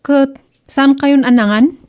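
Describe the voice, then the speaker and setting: A woman's voice: high voice, steady tone, slow pace, a little mellow. A woman with a Filipino Ilocano accent playing an inquisitive, disapproving neighbour friend in a comedy radio drama.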